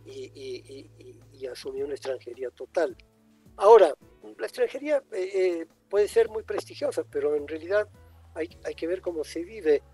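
An older man with a husky, mellow voice who speaks Spanish as a second language, his first being Italian. He answers interview questions thoughtfully, pausing and circling back as he searches for words. Soft music plays faintly behind him. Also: on the high side, expressive, no room sound. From born in Egypt, raised in Italy. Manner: reflective, pauses and restarts often. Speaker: writer guest.

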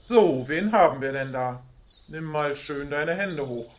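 A man's voice: a little high, measured pace, a little hiss or hum, close to an everyday voice. A man playing a confident policeman uncle, genial but firm, in an amateur radio play. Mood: stern, satisfied.

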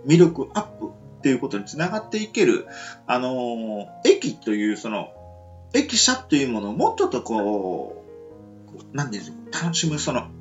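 A man's voice: mid-range voice, wildly swooping pitch, moderate pace, highs cut off, soft background music. A man co-hosting a casual radio chat, rambling with many fillers. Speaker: radio show co-host.

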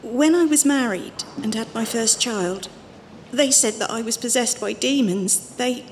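A woman plays a wandering holy visionary in an audio drama. Her voice is high and full of pious, anxious fervour, ringing in a long reverb over faint noise. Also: unhurried. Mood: fervent, troubled. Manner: earnest, emotional, pious. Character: fervent, fearful wandering female religious visionary.

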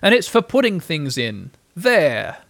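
Man playing a cheerful, high-voiced little bear. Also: measured pace, vocal fry, no echo, exaggerated voice. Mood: excited. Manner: excited, earnest. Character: cheerful, simple, well-meaning little bear.

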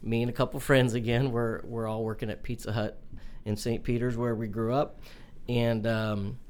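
A man in his forties with a young-sounding voice, answering interview questions in a halting way with many fillers and restarts. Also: medium pitch, flat delivery, a little mellow, smooth. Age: 40s. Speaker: mortgage company owner.

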